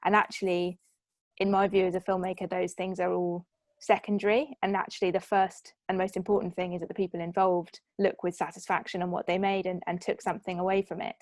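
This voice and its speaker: A woman's voice: on the low side, flat delivery, a little fast, a little mellow, no echo. A woman hosting a film Q&A in a casual, conversational style. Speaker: host, film producer moderating a Q&A. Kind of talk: casual online panel hosting.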